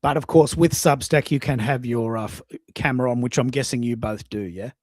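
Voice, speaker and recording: A man's voice: mid-range voice, brisk, darkish tone, dry recording, studio quality. A man hosting a casual live-stream chat, informal, rambling and blunt in his way of talking. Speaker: host, social media coach.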